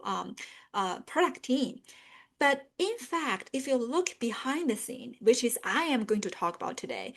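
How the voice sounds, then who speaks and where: Woman, Chinese accent, slightly high voice, earnest, lots of fillers, video call. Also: fairly bright tone. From northern China. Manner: earnest, many fillers, repeats "yeah". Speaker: webinar host and career coach. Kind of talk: online webinar presentation.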